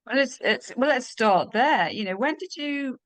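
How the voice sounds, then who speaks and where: A woman's voice: high voice, lively intonation, moderate pace, a bit bright, no room sound. A woman hosting an interview, asking questions hesitantly and rephrasing as she goes. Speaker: host.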